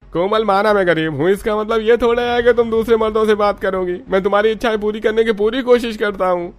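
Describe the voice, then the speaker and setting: Man voicing a high-pitched pleading poor husband. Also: steady tone, a little fast, dry recording, natural voice. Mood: pleading, hurt. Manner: pleading, placating.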